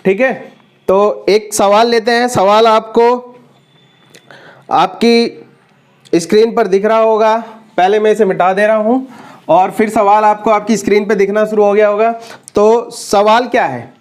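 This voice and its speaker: A man's voice: sits high, steady tone, a little slow. A man with a sore throat teaching an exam-prep math lesson, working through problems step by step and addressing students as friends.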